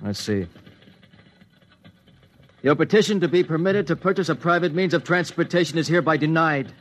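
An actor playing a humorless, curt government clerk who goes rigidly by the book, in old-time radio style. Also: male voice, on the high side, expressive, moderate pace, a bit bright. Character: brusque, humorless male bureaucratic clerk. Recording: old radio recording with faint noise.

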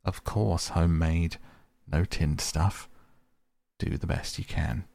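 A man voicing a brisk, masterful male water rat who gives orders in a hearty, commanding way. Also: low voice, fairly even intonation, creaky, mellow, dry recording, heavily acted.

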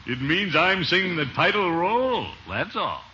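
An actor playing a pompous, blustery middle-aged uncle in a vintage radio comedy style. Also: male voice, high voice, very animated, normal speed. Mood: smug. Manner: pompous, swooping, chuckling delivery. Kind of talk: 1940s-50s radio comedy acting. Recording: dull, hissy old radio recording.

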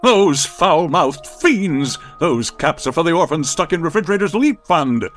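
An actor playing a pompous, indignant husband, declaiming in overwrought 1940s radio melodrama style. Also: male voice, on the high side, lively intonation, unhurried, fairly bright tone, soft background music. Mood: indignant despair.

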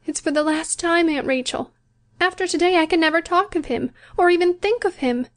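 A woman reading a story aloud, voicing a gentle, resigned young bride who speaks softly and pleads. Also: very high-pitched, fairly quick, pitched above the performer's own voice. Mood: soft, wistful. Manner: soft and pleading. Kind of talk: audiobook character voice.

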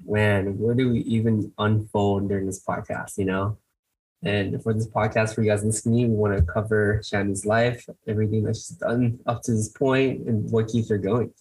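A man's voice: lowish voice, flat delivery, fairly quick, a little mellow, breathy edge, poor audio. A man co-hosting a podcast interview, upbeat and teasing as he asks questions. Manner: upbeat and teasing, laughing with the guest. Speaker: podcast co-host. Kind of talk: casual interview chat.

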